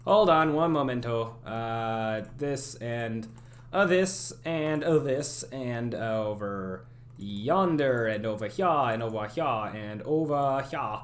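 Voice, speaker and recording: A young man streaming his game development, chatting casually and thinking out loud as he works, often correcting himself. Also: mid-range voice, expressive, slow pace. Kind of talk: casual live-stream chat while working.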